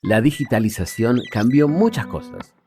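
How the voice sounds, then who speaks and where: Mellow man narrating, lively intonation. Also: mid-range voice, moderate pace, soft background music. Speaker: podcast narrator and host. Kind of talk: scripted documentary narration.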